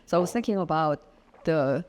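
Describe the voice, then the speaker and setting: A middle-aged woman from South Korea, whose first language is Korean, talks as a guest in a home interview. She speaks with halting pauses and many fillers. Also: low voice, animated, darkish tone. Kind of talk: casual interview. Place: home studio.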